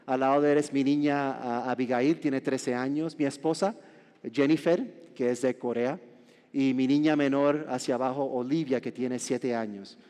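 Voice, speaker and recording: A Puerto Rican man in his forties, a pastor preaching a sermon with joking asides and halting restarts. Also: mid-range voice, steady tone, mellow. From Puerto Rico. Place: large church hall. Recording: reverberant church hall.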